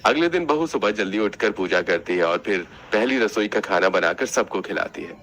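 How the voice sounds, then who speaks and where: A man's voice: fairly low pitch, lively intonation, moderate pace, fairly bright tone, a little hiss or hum. A man reading scripted narration for a cartoon family story.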